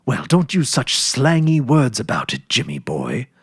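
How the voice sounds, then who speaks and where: Man voicing a soft, husky teenage farm girl. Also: mid-range voice, huge pitch swings, measured pace, dry recording, studio quality, full character voice. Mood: weary, gentle. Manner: soft, patient, gently firm. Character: gentle, sighing sixteen-year-old farm girl, caring elder sister.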